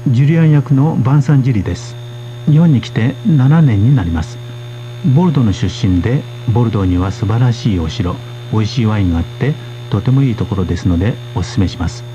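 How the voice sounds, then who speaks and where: Brisk dark-voiced male radio host. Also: fairly low pitch, dull audio, slight background noise. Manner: polite, steady, interprets guests. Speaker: host of a radio language course. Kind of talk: radio lesson presenting.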